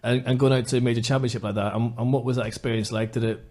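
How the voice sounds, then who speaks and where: A man with a Welsh accent hosts a football podcast in a studio. His voice is mid-pitched and easygoing, and he jokes as he rambles through friendly questions. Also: steady tone, racing, darkish tone. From Wales. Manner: jokey, chatty, rambling questions. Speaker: podcast host. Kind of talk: casual interview chat.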